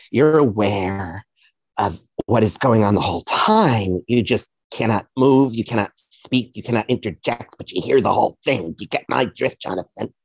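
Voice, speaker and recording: A woman's voice: extremely low pitch, lively intonation, normal speed, creaky, a little mellow, husky, dry recording, full character voice. Woman playing a brash theatrical psychic medium, dull dry recording. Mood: earnest, indignant. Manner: theatrical, digressive, addressing the host by name. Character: brash, foul-mouthed celebrity psychic medium woman, dramatic and rambling. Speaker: guest playing a comic psychic. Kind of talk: comedic podcast audio play.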